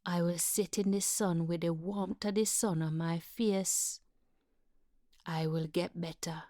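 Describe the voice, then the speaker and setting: A woman playing a frightened, confused, worn-down mother in a dramatic monologue, hushed and halting. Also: on the low side, fairly even intonation, no room sound, studio quality. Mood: wistful, confused. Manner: halting, hesitant, repeating herself, wandering.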